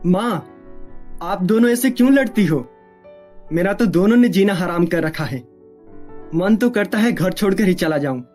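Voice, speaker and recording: A woman voicing an earnest, troubled young married man in an animated family drama. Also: mid-range voice, moderate pace, pauses often, soft background music, natural voice. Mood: exasperated.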